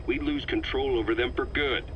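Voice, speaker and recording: An actor playing a stern, suspicious American military intelligence officer in a video game drama, clipped and commanding, on a tape recording. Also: male voice, mid-range voice, steady tone, moderate pace, natural voice. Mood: stern, accusatory. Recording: slightly muffled, noisy cassette tape recording.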